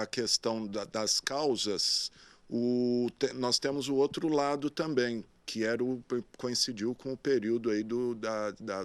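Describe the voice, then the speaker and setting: A man's voice: mid-range voice, smooth, no room sound. Male TV interviewer, dry studio sound. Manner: conversational, prompting with tag questions. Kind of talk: interview questions.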